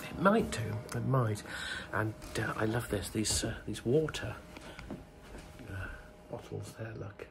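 Bunged-up man, low and hushed, chatty vlog update from a quiet hotel room, faint hiss behind. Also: slow, creaky. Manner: speaks softly, hushed, many ums. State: bunged up with a cold. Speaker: vlogger.

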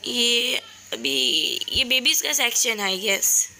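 A woman's voice: on the high side, very animated, slow, sharp tone. A woman from Pakistan with a sore throat, narrating a casual shopping vlog in a cheerful, chatty way and pointing things out to her viewers. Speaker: vlogger. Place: voice-over recorded later, indoors.

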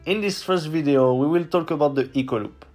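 A man's voice: fairly high pitch, brisk, darkish tone, soft background music. A man with a strong French accent, hosting and narrating a scripted explainer, walking through the basics step by step. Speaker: host.

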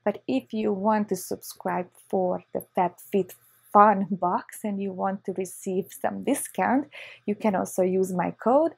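Hungarian-accented woman with a gravelly voice. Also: mid-range voice, unhurried. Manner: chatty, excitable, with short laughs. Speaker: vlogger. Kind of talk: casual unboxing vlog. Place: living room on a leather couch, indoors.

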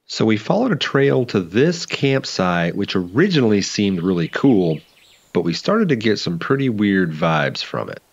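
A man's voice: lowish voice, big pitch swings, moderate pace, gravelly, slightly rough, dull audio. A man narrating his overlanding and camping trip in a casual, upbeat way with dry humor. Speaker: overlanding vlogger.

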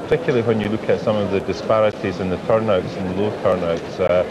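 A middle-aged male politician interviewed at an election count hall, answering in a measured way with many fillers. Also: lowish voice, steady tone. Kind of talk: live election night interview. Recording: dull broadcast audio with hall noise.

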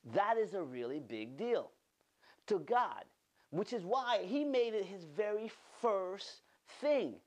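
A man in his thirties, a pastor delivering an animated online sermon from a studio, repeating words for emphasis. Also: sits high, slow pace, lo-fi sound. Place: studio with green-screen backdrop.

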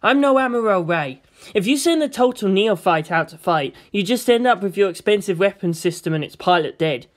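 A woman's voice: medium pitch, animated, brisk, natural voice. A woman voicing a distressed, argumentative and suspicious teenage boy in a dramatic story reading. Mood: wounded disbelief. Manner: agitated, questioning, protesting. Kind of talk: dramatic audiobook character reading.

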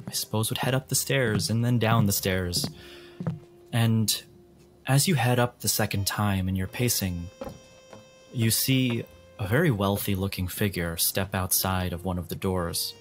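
A man narrating a tabletop game as game master in a deliberate, atmospheric, descriptive way. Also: lowish voice, faint music behind.

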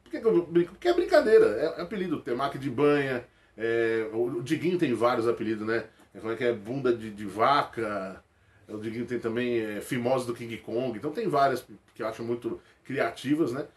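A man's voice: mid-range voice, fairly quick. A man in his forties, a comedian from Rio de Janeiro, chatting to camera and answering viewer questions in a small room. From Rio de Janeiro, Brazil. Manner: animated, jokey, laughs often, slangy. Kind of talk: casual chat to camera. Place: small indoor room with toy shelves. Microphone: webcam close to face.

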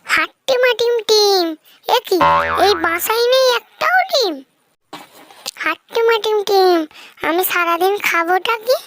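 A performer voicing a mischievous, always hungry trickster fox with a childlike, squeaky, singsong delivery in a children's fairy-tale cartoon. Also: expressive, measured pace, pauses often, noisy background. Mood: hungry, grumbling. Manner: singsong, repeats a nonsense rhyming catchphrase.

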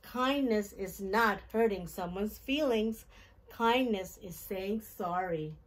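Slow-paced woman reading to young children. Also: mid-range voice. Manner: deliberate, simple child-directed phrasing with questions. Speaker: children's storytime host and reader. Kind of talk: picture-book read-aloud for young children. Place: small decorated indoor room.

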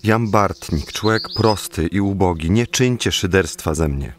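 A humble elder beekeeper, speaks low, grave and measured. Birdsong and buzzing insects sit behind the radio-play voice. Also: male voice, animated, a little hiss or hum. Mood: humble, reluctant. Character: humble, simple beekeeper elder, calm new leader. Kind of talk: illustrated radio drama acting.

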